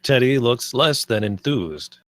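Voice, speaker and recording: A man's voice: medium pitch, animated, a little slow, fairly bright tone, no echo. A man narrating the stage directions of a script at a table read.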